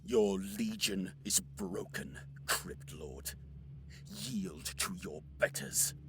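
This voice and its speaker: An actor playing an arrogant, hot-tempered alien warrior lord in a science fiction audio drama, with a haughty, theatrical manner. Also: male voice, deep voice, very slow, fairly bright tone, slight background noise, full character voice.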